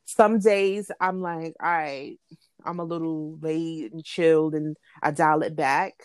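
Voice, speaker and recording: A woman's voice: low-pitched, steady tone, unhurried, a little mellow, smooth, dry recording. A woman, co-hosting a casual chat, frank and pressing with her questions. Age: late 20s. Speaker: podcast co-host.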